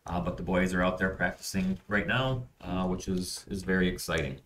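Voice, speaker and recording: A man's voice: sits low, fairly even intonation, moderate pace, a bit bright. A man giving an informal facilities update at a school board meeting, talking loosely with fillers and restarts. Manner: conversational, many fillers and restarts. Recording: distant, roomy meeting audio.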